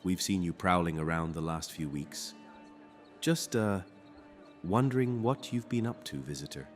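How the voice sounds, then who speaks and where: A man's voice: low-pitched, moderate pace, faint music behind, lightly acted. An actor playing a nervous, suspicious young Roman man in a fantasy audio drama, hesitant and wary.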